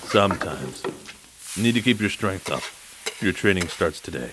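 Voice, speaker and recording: A man's voice: deep voice, lively intonation, normal speed, background noise. A man playing a laconic, protective rifleman and trainer in an audio drama. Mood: matter-of-fact.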